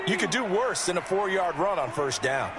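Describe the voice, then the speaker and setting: A man's voice: high voice, expressive, moderate pace, very noisy. A man playing a video game football analyst with an American accent, explaining plays in an emphatic, conversational way. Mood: explanatory. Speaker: colour commentator, analyst.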